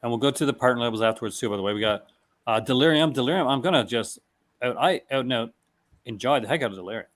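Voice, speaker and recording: A man in a relaxed conversation, reading out film blurbs. He stumbles over names, restarts his words and laughs easily as he goes. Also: mid-range voice, quick pace. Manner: reads product blurbs aloud, stumbling and restarting, laughs often. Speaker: host. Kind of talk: casual chat.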